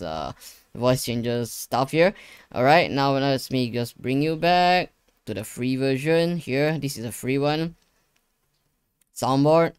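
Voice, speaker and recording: A man's voice: mid-range voice, smooth, no echo. Young guy, walking viewers thru a setup, chatty n repeats himself, close dry mic. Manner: informal, repeats phrases, addresses viewers. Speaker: tutorial host. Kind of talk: casual screen-share tutorial. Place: indoor gaming room at a desk.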